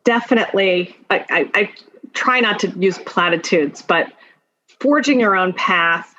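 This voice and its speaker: The recording is a little dull and lo-fi. A woman with a medium-pitched voice answers as a podcast guest, informal and conversational. She thinks aloud, halting and branching into asides as she goes. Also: pushed and bright. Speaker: podcast guest, attorney and executive. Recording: dull lo-fi sound.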